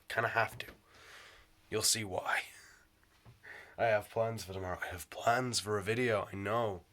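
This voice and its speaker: Man, hoarse sore throat, voice cracking, lower pitch, casual rambling vlog talk. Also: fairly bright tone. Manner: rambling, swearing, laughing often. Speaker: vlogger.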